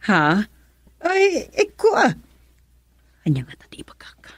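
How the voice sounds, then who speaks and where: A woman's voice: sits high, wildly swooping pitch, measured pace, slightly rough, dry recording, heavily acted. A woman playing a fussy middle-aged aunt in a radio drama, hesitant and drawn out, with a Filipino accent. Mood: evasive, hesitant. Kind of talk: Ilocano radio drama acting.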